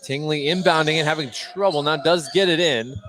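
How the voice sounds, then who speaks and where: A man's voice: on the high side, brisk. An American man doing live radio play-by-play of a basketball game, describing the action in clipped running phrases. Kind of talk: live radio sports commentary. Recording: noisy gym ambience, bouncing basketballs. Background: busy gymnasium with basketball bounces.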